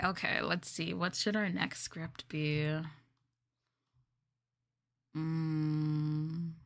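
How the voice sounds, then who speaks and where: A woman hosting a casual livestream, chatting to her viewers in an expressive, giggly manner with drawn-out exclamations. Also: deep voice, vocal fry. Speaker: livestream host reading scripts to chat.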